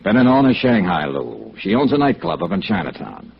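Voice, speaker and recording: An American actor playing a wry, hard-boiled insurance investigator in an old radio noir drama, with a dry, clipped delivery. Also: male voice, lowish voice, wildly swooping pitch, brisk, gravelly, slightly rough, heavily acted. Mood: probing, dry. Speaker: narrator and lead actor. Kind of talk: 1950s radio noir acting, first-person narration. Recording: dull vintage radio recording with music.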